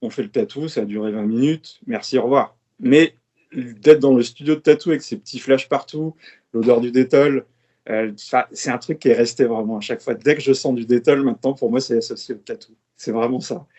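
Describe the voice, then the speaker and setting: Guest spot: laid-back French man in his fifties, middle pitch, rambling anecdotes. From France. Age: 50s. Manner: relaxed storytelling, quick asides and laughs. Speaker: guest tattoo artist. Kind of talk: casual podcast chat.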